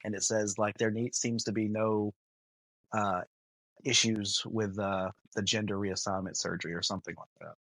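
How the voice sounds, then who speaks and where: A podcast co-host in a casual movie discussion, joking in a deadpan way. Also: sits low, moderate pace, dry recording, rough recording.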